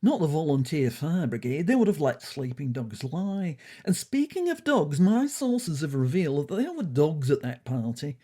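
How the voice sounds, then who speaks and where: A woman plays a pompous male cat from a comedy audio story, grumpy and self-righteous. The voice is husky and lifted, swinging indignantly up and down as he holds forth. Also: sits low, big pitch swings, moderate pace, few pauses, dark tone, heavily acted.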